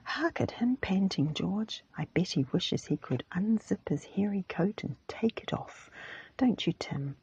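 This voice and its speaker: A woman voicing a breezy, teasing schoolboy in a storybook, in a breathy, brisk whisper. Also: mid-range voice, lively intonation, darkish tone, muffled recording, exaggerated voice. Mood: playful. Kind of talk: whispered storybook character reading.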